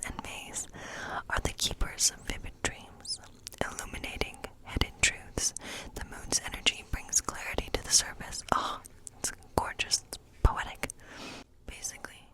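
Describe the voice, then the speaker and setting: A woman speaks in a breathy, unvoiced whisper, as in an ASMR fantasy role play. She gives a tarot reading in a gentle, unhurried way, explaining things in short phrases with pauses between them. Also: rough recording.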